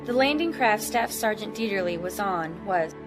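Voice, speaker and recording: Woman narrator, mid pitch, reading a history script with music behind. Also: lively intonation, normal speed. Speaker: documentary narrator.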